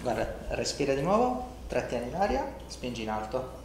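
A man's voice: mid-range voice, big pitch swings, normal speed, a little mellow. Male chiropractor, middle-aged, informal and reassuring while instructing a patient; roomy sound with slight noise. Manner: informal, reassuring, often checks with "ok?" and "no?". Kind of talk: explaining and instructing a patient during a treatment session. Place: indoor treatment room in a home-like studio. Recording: echoey room, some background noise.

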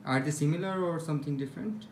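A man in his twenties with a Pakistani accent, talking haltingly and casually as a student vlogger. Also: fairly high pitch. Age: 20s. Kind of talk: casual vlog narration and interviewing. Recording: echoey rooms, faint background noise.

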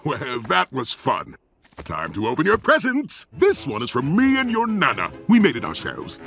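A man's voice: mid-range voice, huge pitch swings, moderate pace, creaky, fairly bright tone, soft background music, heavily acted. A man playing a dorky, eager, embarrassing demon dad in a cartoon. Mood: cheerful. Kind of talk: animated cartoon voice acting.

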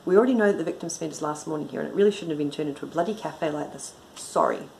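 A woman reading a storybook as an exasperated female detective inspector, brisk, sharp and commanding. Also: low-pitched, creaky, a little hiss or hum, low-quality recording. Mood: strained politeness.

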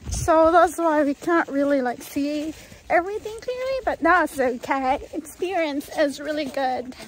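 A young Filipino woman talks to her phone camera on a wooded trail. Her voice is high and her pace is unhurried, her manner cheerful and chatty. It sounds like a casual outdoor selfie vlog. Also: slight background noise, poor audio. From Philippines. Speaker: vlogger. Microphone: phone held at arm's length.

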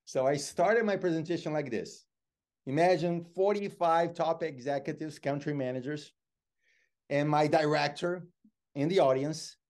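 A man's voice: on the high side, normal speed. A middle-aged man with a Brazilian Portuguese accent, a motivational speaker and interview guest telling stories expressively over a video call from his home office. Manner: expressive, gestures a lot, lively storyteller. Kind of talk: animated interview storytelling.

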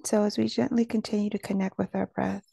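Gentle woman with a creaky, mellow voice. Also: medium pitch, fast talker, dry recording. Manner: gentle, calming delivery. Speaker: meditation guide. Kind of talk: guided meditation narration.

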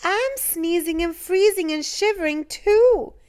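A woman voicing a shivering, cheerful, childlike male snowman in a storybook, exclaiming with drawn-out, dramatic words. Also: extremely high pitch, animated, darkish tone, voice pushed up. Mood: shivering, complaining.

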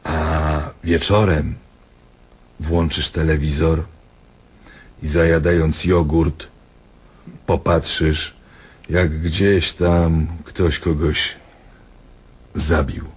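An actor playing a diabolical, sarcastic doctor who taunts his patient theatrically in a husky voice, in a radio drama. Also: a bass voice, level tone, very slow, pauses often, heavily acted. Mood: sneering, teasing.

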